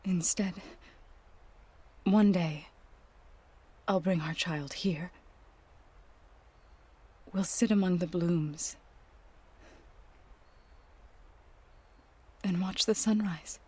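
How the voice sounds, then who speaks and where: Slow, breathy, solemn tribeswoman. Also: fairly low pitch. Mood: solemn, grieving. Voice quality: soft, breathy. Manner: measured, tender, reverent. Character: gentle, solemn tribeswoman, grieving mother-to-be. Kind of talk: video game cinematic acting.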